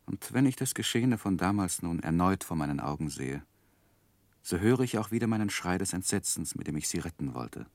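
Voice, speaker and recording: An actor playing a reflective grown man who narrates his life in a radio play, measured, literary and carefully spoken. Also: low voice, expressive, no room sound. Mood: grave, regretful.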